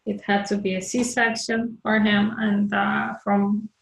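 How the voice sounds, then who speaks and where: A woman's voice: mid-range voice, level tone, takes it slowly, creaky, echoey room, low-quality recording. A woman from Ukraine hosting an interview, agreeing and asking follow-up questions. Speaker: host.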